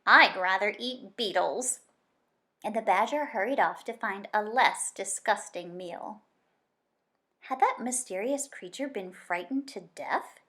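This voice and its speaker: A woman reading a children's book aloud as an expressive story narrator. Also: mid-range voice, unhurried. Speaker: story reader.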